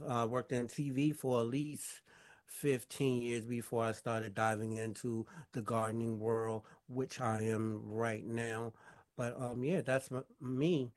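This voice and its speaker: Easygoing guy from maryland, average voice, lots of ums. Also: mid-range voice. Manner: laid-back, laughs, uses fillers. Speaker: guest, founder. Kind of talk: casual interview talk.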